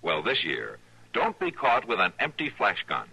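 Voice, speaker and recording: Lively bright-voiced 1950s radio announcer. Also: male voice, fairly low pitch, normal speed, poor audio. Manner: smooth, persuasive, emphatic.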